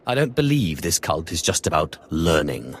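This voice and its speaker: An actor playing an arrogant, menacing dark-side warrior in a video game, curt and contemptuous. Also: male voice, rumbling low, very animated, moderate pace, slight background noise, full character voice. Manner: curt, haughty. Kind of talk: video game cutscene acting.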